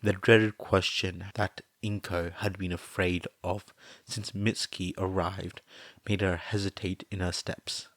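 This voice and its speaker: A man reading a fanfiction story aloud as narrator, steady and measured. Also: low voice, no echo. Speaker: podfic reader.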